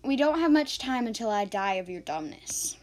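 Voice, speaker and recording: A woman playing a feisty, exasperated young heroine in an amateur fairy-tale radio drama, sarcastic and excitable. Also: a little high, normal speed.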